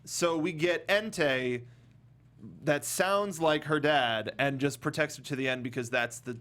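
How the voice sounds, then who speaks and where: A man, fairly young, hosting a casual movie review panel in an animated, jokey way and often stumbling over his words. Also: fairly high pitch, a little slow. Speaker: talk show host.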